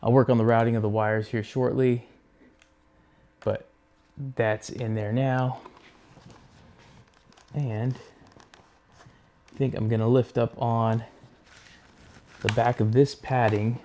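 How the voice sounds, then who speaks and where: Man with a mellow, low-key tutorial voice. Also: little pitch movement, a little hiss or hum. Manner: unhurried, talks through each step while working with his hands. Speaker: host of a motorcycle gear install video. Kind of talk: casual hands-on tutorial narration.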